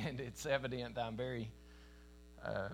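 A man in his forties with a thick East Tennessee drawl preaches to a congregation, folksy and emphatic, now and then rising into louder bursts. His voice sits at a middle pitch. A faint electrical hum runs under the recording. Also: fairly even intonation, moderate pace, creaky. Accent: East Tennessee Southern. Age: 40s. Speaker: traveling preacher. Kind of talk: sermon. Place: church meeting in front of a congregation.

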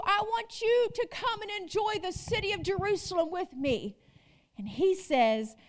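A woman with a very high voice delivers a sermon to her congregation. She is emphatic, repeats her phrases and keeps asking the room rhetorical questions. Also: a bit bright. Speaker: preacher.

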